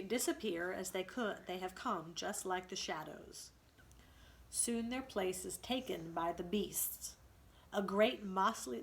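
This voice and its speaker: A woman reading a storybook aloud as a teacher, in an expressive, instructive way. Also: medium pitch, creaky. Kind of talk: storybook read-aloud with explanations.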